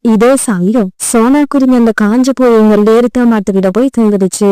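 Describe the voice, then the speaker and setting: A woman's voice: a little high, a little fast, mellow, smooth, dry recording, low-quality recording. A woman narrating a scripted children's story.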